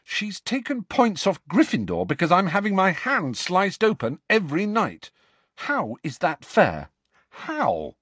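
A man's voice: high-pitched, huge pitch swings, unhurried, a bit bright, husky, no room sound, voice pushed up, heavily acted. A man voicing an angry, defiant teenage boy who speaks indignantly. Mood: bitter.

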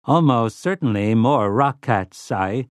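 A man's voice: medium pitch, measured pace, dry recording, studio quality, pitched above the performer's own voice, close to an everyday voice. A man voicing a complacent, prissy male servant robot in an audiobook, with smug solicitude and silky menace.

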